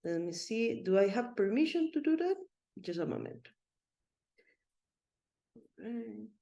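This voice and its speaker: A woman's voice: a little high, sing-song, unhurried, vocal fry, dark tone, roomy sound, dull audio. A middle-aged woman from Rica, giving an online presentation in a friendly, rambling way with many fillers and restarts. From Costa Rica. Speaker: web3 consultant presenter.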